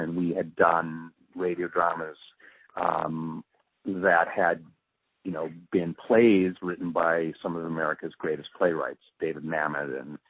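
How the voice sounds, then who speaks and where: An older man reflecting in an interview, speaking in a halting, thoughtful way with pauses mid-sentence. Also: deep voice, husky, dry recording. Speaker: radio drama sound designer and producer being interviewed. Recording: muffled phone-like narrowband line.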